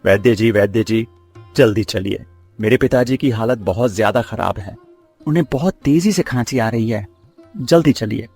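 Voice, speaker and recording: A man's voice: fairly low pitch, normal speed, darkish tone. A man playing a humble, downtrodden and devoted village son in a cartoon story, earnest and pleading. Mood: panicked. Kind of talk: Hindi cartoon story acting. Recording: music behind the voice. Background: music.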